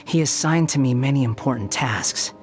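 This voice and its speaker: Guy doing a snooty bitter young puppet boy from a video game, measured n theatrical, sneery. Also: mid-range voice, faint music behind, lightly acted. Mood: cool disdain. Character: haughty, sardonic young male puppet wanderer with a bitter streak. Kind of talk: scripted game character voice lines.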